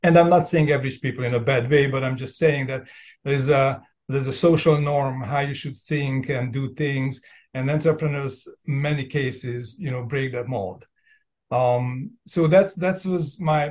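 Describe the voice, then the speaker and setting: A man in his fifties speaks with a Hungarian accent, as a native Hungarian speaker. His voice sits at an average pitch. He tells his own story informally as a podcast guest, pausing and rephrasing in a reflective way. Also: fairly even intonation, darkish tone. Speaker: podcast guest, entrepreneur and business coach. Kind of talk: casual personal storytelling in an interview.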